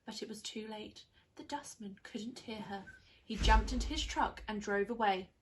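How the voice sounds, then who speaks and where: A woman reading a children's story aloud like a primary school teacher, with expressive, dramatised narration. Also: medium pitch, moderate pace, noisy background.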